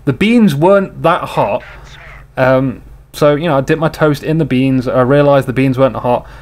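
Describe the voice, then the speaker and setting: Jokey man, slightly hoarse. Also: mid-range voice, normal speed, a little mellow. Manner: rambling, jokey, chats to viewers. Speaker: game commentator. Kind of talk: casual replay commentary.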